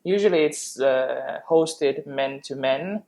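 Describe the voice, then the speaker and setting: Finnish accent woman cohosting a podcast, pretty deep voice, casual explaining. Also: slow pace, creaky, mellow. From Finland. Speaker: podcast co-host.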